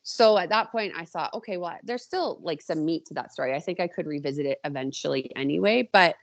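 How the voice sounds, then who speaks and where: A woman's voice: sits low, fairly quick, creaky. A woman author being interviewed as a podcast guest, chatty and informal, often voicing her own thoughts as little quotes. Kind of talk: casual conversation.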